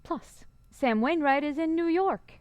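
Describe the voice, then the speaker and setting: Actress as fond matchmaking mother, radio drama. High, animated, coaxing, dry recording. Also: unhurried, dry recording. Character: fond, nudging, matchmaking middle-aged mother.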